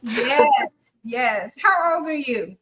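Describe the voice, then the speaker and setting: A chatty woman in her fifties hosts a live podcast, effusive and encouraging toward her guest. She sits a little high in pitch and takes her time with every phrase. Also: expressive, very slow, a crowd in the background. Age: 50s. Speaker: podcast host.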